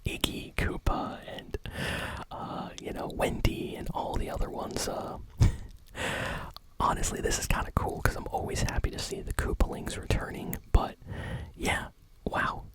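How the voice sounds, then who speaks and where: A woman's voice: unhurried, rough recording. A woman hosting a casual gaming news show in ASMR style, whispering in an enthusiastic, conversational, unscripted way. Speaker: host of a gaming news channel.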